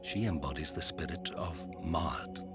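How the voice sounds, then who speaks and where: An actor playing a solemn older father and high priest, speaking in ritual incantation for a video game. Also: measured pace. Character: solemn aged father, high priest presenting his children. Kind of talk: ceremonial video game acting. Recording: loud music over the voice.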